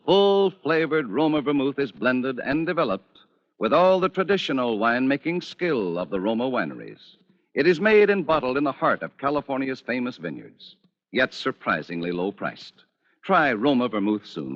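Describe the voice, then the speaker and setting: An American man announcing and reading a sponsor's pitch in 1940s radio style. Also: sing-song, normal speed, a bit bright. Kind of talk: 1940s radio advertising read. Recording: dull old radio recording.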